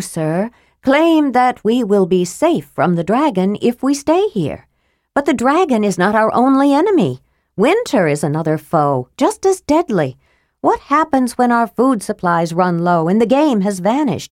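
A woman's voice: a little high, big pitch swings, normal speed, dry recording, studio quality, pitched above the performer's own voice. Woman playing a blunt, stout middle-aged woman. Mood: challenging. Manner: forceful, demanding.

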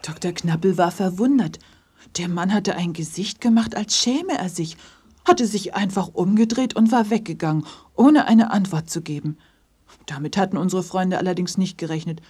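Very husky, lowish-voiced woman storyteller. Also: a little mellow. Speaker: narrator. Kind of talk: scripted children's story narration.

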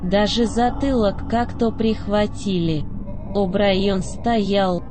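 A woman narrating an audio drama in a scripted, dramatic storytelling style. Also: medium pitch. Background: prominent music.

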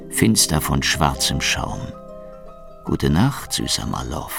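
Cynical soviet detective, very low gravelly voice, sardonic radio noir narrator, music behind. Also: male voice, exaggerated voice. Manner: sardonic, laconic. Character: cynical, wisecracking male Soviet secret police investigator. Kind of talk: hardboiled radio crime drama.

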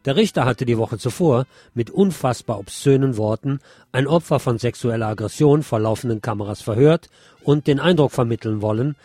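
A man's voice: mid-range voice, brisk, warm tone, no echo. A man presenting scripted news on the radio, reading as a presenter.

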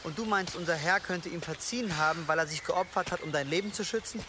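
An actor in a German radio drama playing a gentle, devout monk with a halting, pious manner. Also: male voice, fairly high pitch, background noise, natural voice. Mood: gentle, sorrowful. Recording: clear outdoor ambience behind.

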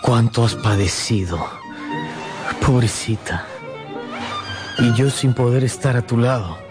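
An actor playing a polite, awkward young suitor in a radio drama, with a deferential manner. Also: male voice, medium pitch, slow pace, over music, close to an everyday voice. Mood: tender. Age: young adult. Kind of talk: Spanish-language radio drama acting.

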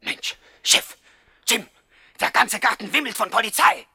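Very high, panicky gangster henchman. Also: a little slow, creaky, pushed and bright, husky, heavily acted. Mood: alarmed, yelling. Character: panicky male gang henchman yelling alarm. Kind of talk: 1960s German radio play acting. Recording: noisy, reverberant radio drama scene.

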